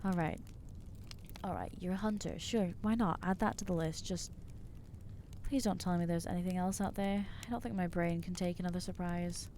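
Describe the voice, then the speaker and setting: A woman voicing an anxious, guilt-ridden new vampire in an ASMR roleplay, halting and self-doubting, with wry exasperation. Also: on the low side, fairly even intonation, dark tone, slight background noise, lightly acted. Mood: bewildered, overwhelmed. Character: anxious newly turned female vampire, guilty and overwhelmed. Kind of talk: ASMR roleplay acting to the listener.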